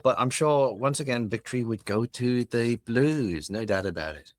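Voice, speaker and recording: A man's voice: mid-range voice, animated, normal speed, no room sound. A man in his forties from Hong Kong with a mixed Australian, British and Cantonese accent, chatting expansively as a podcast guest. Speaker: guest, business founder. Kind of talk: casual interview chat.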